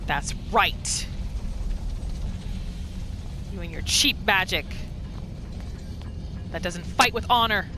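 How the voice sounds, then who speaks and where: A woman hosting a gaming video with casual, excitable commentary, exclaiming and narrating what her character thinks. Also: mid-range voice, slow pace, long pauses, music in the background.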